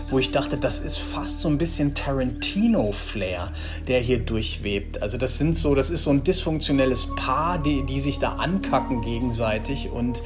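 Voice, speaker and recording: A man with a mid-pitched voice speaks in a casual interview as a writer. He is enthusiastic and feels his way to the right words, with music playing clearly behind him. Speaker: audio drama writer being interviewed. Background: music bed.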